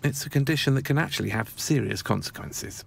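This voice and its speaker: A man's voice: mid-range voice, a little fast, creaky, a little hiss or hum. A man narrating a documentary in a scripted voice-over, with dry wry asides.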